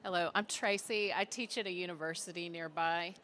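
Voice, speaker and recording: Bright, low-pitched woman asking a question. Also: steady tone, brisk. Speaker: university teacher asking audience questions. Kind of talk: casual audience question.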